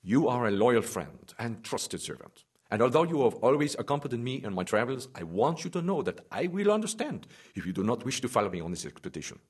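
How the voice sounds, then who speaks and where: Expressive, husky zealous professor. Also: male voice, medium pitch, brisk, dry recording, clean studio sound. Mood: earnest. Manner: formal, theatrical, expressive. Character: male French professor narrating his sea adventure, eager, zealous. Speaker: narrator and lead actor. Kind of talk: dramatized audiobook narration and dialogue.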